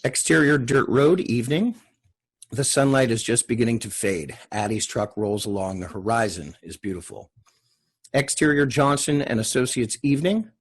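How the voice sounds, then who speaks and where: A man's voice: medium pitch, moderate pace, breathy edge. A man narrating screen directions as a reader at a TV drama table read.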